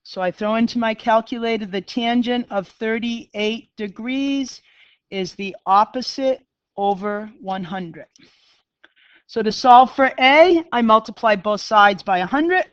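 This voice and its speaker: A middle-aged math teacher with a Boston accent talks her class through a lesson, chatty and quick to poke fun at herself. Her voice is smooth and clear, and the sound is dry but a little dull and lo-fi. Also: fairly high pitch, a bit bright, no room sound. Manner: chatty, self-deprecating, talks to students. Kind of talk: classroom lecture.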